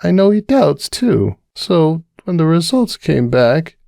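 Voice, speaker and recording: A tipsy young mare pony, anxious and close to tears, her voice climbing high and swooping wildly as she drinks, put on by a male reader of a fan drama. The sound is dry and close. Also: very animated, slow, gravelly, mellow, dry recording, exaggerated voice. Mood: drunk, anxious.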